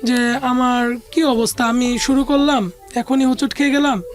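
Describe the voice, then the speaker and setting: A woman's voice: high-pitched, steady tone, moderate pace. A woman with a Bangladeshi accent telling a personal life story as an interview guest, conversationally, often voicing what others said.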